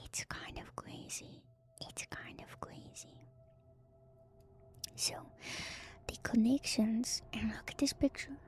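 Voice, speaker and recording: Whispering, slow-talking woman streamer. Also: on the high side, fairly even intonation. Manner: hushed, unhurried, musing. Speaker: VTuber streamer. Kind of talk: whispered ASMR lore reading and commentary to viewers. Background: music.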